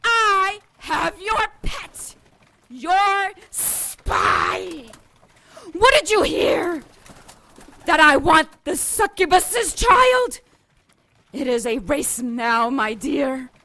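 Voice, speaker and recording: A woman's voice: high-pitched, big pitch swings, very slow, bright, forceful tone, full character voice. An actress playing a regal, cruel, paranoid serpent-woman queen in an audio drama, imperious, menacing and theatrical. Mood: taunting, vengeful.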